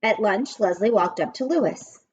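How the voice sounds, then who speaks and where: A woman reading a children's story aloud with expressive, deliberate and emphatic phrasing. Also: mid-range voice, breathy edge, dull audio.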